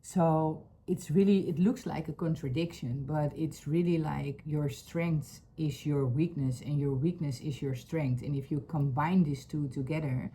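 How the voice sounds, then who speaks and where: A woman with a Dutch accent talks slowly and earnestly to her camera at home, often pausing with fillers to find her words. Her voice sits low and mellow. A faint background hum runs under the recording. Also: low-quality recording. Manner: searching for words, many fillers, soft and earnest. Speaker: vlogger. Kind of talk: personal heartfelt talk to camera. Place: home room with a couch.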